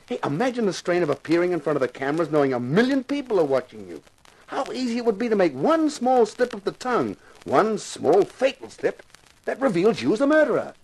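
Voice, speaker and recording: An actor playing a wisecracking, persuasive TV detective star turned amateur sleuth in a 1950s radio detective drama. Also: male voice, high-pitched, big pitch swings, fairly quick, husky, exaggerated voice, low-quality recording. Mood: excited, persuasive. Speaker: lead actor and narrator. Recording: vintage 1950s radio recording.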